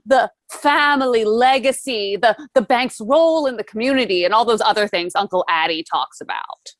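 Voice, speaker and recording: A woman's voice: a little high, normal speed, no room sound, close to an everyday voice. A woman playing a polite, genteel heiress who asks questions and wants more money, in a table read of a stage musical. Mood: surprised, doubtful.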